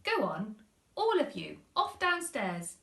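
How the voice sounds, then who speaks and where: Woman playing a weary, exasperated storybook mum, drawn-out delivery. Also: a little high, wildly swooping pitch, vocal fry, sharp tone, reverberant, heavily acted. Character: Missus Large, weary, exasperated mother elephant.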